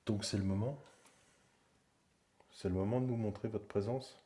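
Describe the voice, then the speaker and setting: Low-voiced man murmuring on location. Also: fairly even intonation, moderate pace, mellow. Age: middle-aged. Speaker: paranormal investigator host. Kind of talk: hushed on-location vlog narration. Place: dark abandoned house at night. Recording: faint background noise.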